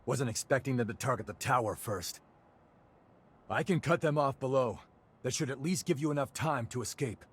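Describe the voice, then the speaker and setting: A man's voice: medium pitch, normal speed, slightly rough, close to an everyday voice. An actor playing an easygoing, wry male hunter in a video game cutscene, speaking in a casual, colloquial way. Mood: urgent, resolute.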